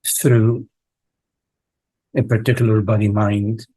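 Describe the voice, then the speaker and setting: Very slow, husky man guiding meditation. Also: fairly low pitch, level tone, mellow, no echo, poor audio. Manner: halting, short phrases with long pauses. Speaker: meditation teacher leading a live online session. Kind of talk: guided meditation, slow contemplative speech.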